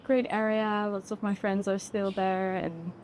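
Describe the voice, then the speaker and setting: A woman's voice: mid-range voice, steady tone, measured pace, a little mellow, slight background noise, rough recording. Casual young Dutch woman in conversation. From Netherlands. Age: 20s. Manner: informal, peppered with "like". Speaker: podcast guest, fashion model. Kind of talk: casual interview chat.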